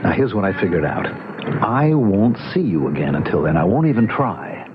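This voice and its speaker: A low, husky tormented man, bullied into obedience by his friend. He confesses haltingly and pleads, swinging with emotion in old-time radio style. The recording is dull and lo-fi. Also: big pitch swings, background noise. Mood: earnest, desperate. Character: anguished, submissive man enslaved to a cruel friend.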